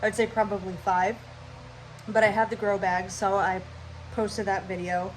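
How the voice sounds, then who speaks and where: A woman's voice: medium pitch, level tone, normal speed, slight background noise, rough recording. A young woman with a stuffy, nasal voice, rambling casually through her channel intro at home. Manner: scatterbrained, rambling, chatty. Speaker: vlogger.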